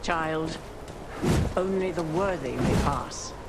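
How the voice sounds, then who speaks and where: An actress playing a grim, guilt-ridden older woman guarding a cathedral in a video game, solemn, ominous and measured. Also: low-pitched. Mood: stern, sorrowful. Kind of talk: dramatic video game voice acting. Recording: clearly noisy ambient background.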